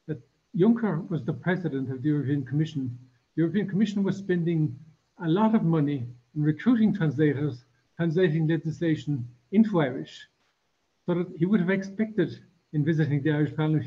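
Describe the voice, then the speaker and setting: An older man lectures in a curtained embassy room, his voice slightly higher than most and breathy. He talks in a measured way and often stops to restart a phrase. The recording sounds dull and lo-fi, picked up by a camera at a distance. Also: animated. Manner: measured, many restarts and fillers. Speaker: guest lecturer. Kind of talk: formal lecture with anecdotes.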